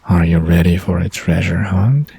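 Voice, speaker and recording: A man playing a smirking, playful young man, smooth and conspiratorial, in a romantic bedtime audio drama. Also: low voice, normal speed, warm tone, slight background noise.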